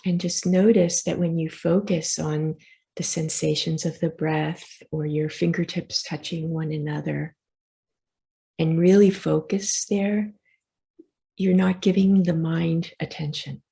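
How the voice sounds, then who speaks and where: A woman meditation teacher with a lowish, mellow voice and a good deal of creak. She gives soft, reassuring guidance, leaving pauses between gentle instructions. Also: fairly even intonation. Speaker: meditation teacher leading a session.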